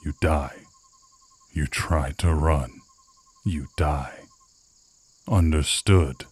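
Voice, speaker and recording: Man playing a very deep, slow, menacing werewolf. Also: fairly even intonation, a little mellow, husky, dry recording, exaggerated voice. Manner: slow, threatening, taunting. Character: menacing male werewolf scout, gruff and predatory. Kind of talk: ASMR roleplay acting.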